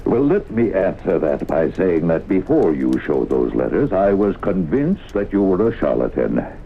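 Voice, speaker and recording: An actor playing a cautious, skeptical middle-aged small-town mayor, gravelly and hesitant, in old-time radio drama style. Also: male voice, low-pitched, sing-song. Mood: guarded, skeptical. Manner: hesitant, measured, formal. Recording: noisy, phone-like old radio broadcast.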